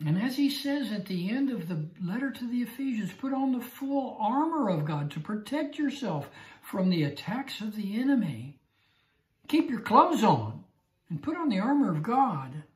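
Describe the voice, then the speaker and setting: An older man teaching a Bible study class, slow and emphatic, repeating his key phrases. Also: high-pitched, sing-song, echoey room. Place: small room indoors. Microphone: lapel mic.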